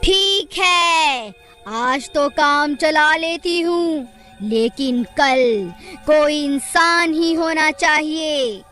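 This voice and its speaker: A high-pitched, bloodthirsty forest witch played by a woman for a horror cartoon. She drags out her words very slowly, menacing and commanding, over background music. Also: pushed and bright, heavily acted. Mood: bargaining. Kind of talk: Hindi cartoon horror acting.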